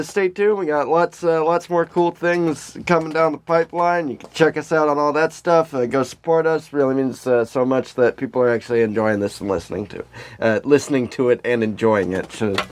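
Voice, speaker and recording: A man's voice: mid-range voice, normal speed, a little hiss or hum. A man co-hosting a casual comedy podcast, talking in a rambling, self-deprecating way. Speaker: podcast co-host.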